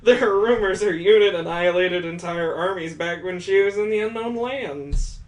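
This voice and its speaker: A man voicing an excitable young schoolgirl in a visual novel, full of eager questions. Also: sits high, normal speed, pitched above the performer's own voice, natural voice. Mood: eager, curious.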